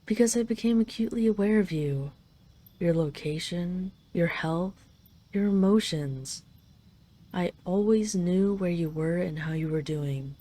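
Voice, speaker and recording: A woman acts out a dragon queen doting on her beloved in an intimate audio roleplay. Her voice is fairly low and very mellow. She lingers over her words, possessive and teasing, yet tender. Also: unhurried, lightly acted. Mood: tender, confiding.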